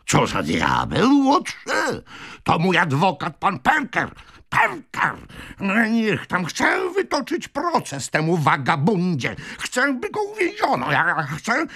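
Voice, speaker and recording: A furious old gentleman in a storybook reading, sputtering and breathless with outrage. The voice leaps wildly up from a creaky base, shouting and repeating itself, recorded very dry. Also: fairly high pitch, huge pitch swings, a little slow, hardly any pauses, no echo, pitched above the performer's own voice, heavily acted.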